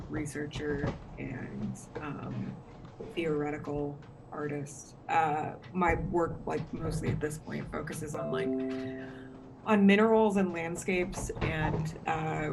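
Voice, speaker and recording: A woman co-hosting a streamed salon over a video call, relaxed and filler-heavy as she introduces herself. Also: deep voice, slow pace, gravelly, over music. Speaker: co-host. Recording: noisy video call audio.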